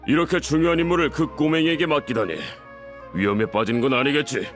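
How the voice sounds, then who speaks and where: A man's voice: a little high, steady tone, fast, faint music behind, lightly acted. A man voicing a cocky desert mercenary in a video game, with a blunt, swaggering way of talking. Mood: businesslike.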